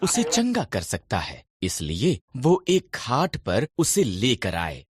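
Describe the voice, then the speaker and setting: A man narrating scripted Bible stories in a measured, expressive storytelling manner. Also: mid-range voice, slightly rough.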